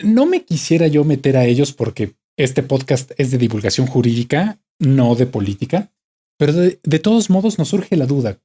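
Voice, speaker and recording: A man with a Mexican accent hosting a legal podcast, asking questions in a friendly, measured way. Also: mid-range voice, big pitch swings, mellow, breathy edge. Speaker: podcast host. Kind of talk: interview questions, casual.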